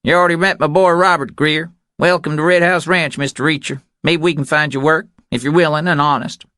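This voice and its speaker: A male narrator puts on a Texan ranch matriarch in her fifties for an audiobook thriller. She is bossy and curt, pitched far above a man's natural register. The sound is close and dry. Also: steady tone, brisk, sharp tone, no echo. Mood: brusque, guarded. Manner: brusque, bossy.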